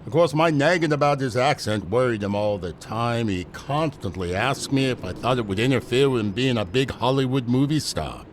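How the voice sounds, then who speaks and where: An actor playing a blunt, wry theater director, exasperated and wisecracking in a mock-documentary interview. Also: male voice, mid-range voice, lively intonation, moderate pace, few pauses, a little hiss or hum, close to an everyday voice. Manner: blunt, wry, repeats words for emphasis.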